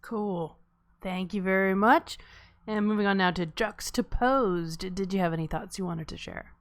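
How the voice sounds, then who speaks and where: A woman's voice: mid-range voice, normal speed. A woman casually moderating an online table read, passing the floor between participants. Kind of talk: casual hosting of an online chat.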